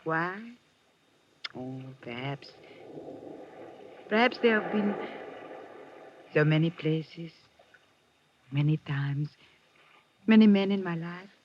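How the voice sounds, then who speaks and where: Slow, sultry femme fatale. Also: female voice, deep voice, animated, slight background noise. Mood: seductive, wistful. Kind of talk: 1950s radio drama acting. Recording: muffled old radio recording.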